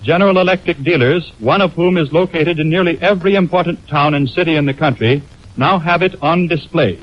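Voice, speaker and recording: An American man announcing on 1920s radio, reading a formal script in a measured way, on a muffled, noisy old broadcast recording. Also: a little high. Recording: muffled, noisy 1929 radio aircheck on film.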